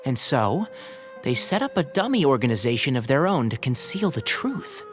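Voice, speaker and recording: A man's voice: medium pitch, sing-song, moderate pace, faint music behind, close to an everyday voice. A man voicing an earnest, thoughtful young scholar in a video game, polite and careful. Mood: explaining. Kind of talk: English video game dub acting.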